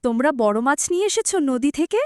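A woman plays a demanding cartoon village wife with a high voice and swooping intonation. The sound is very dry. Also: very animated, fast, no room sound. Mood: scolding. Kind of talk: cartoon voice acting.

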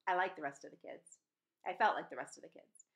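A woman's voice: lowish voice, fast talker. A woman in her forties reading a novel aloud expressively, with the odd stumble, into a computer mic in a room at home. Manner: animated, with stumbles and self-corrections. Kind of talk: expressive storybook read-aloud. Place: small room at home, indoors. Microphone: computer webcam mic at desk distance.

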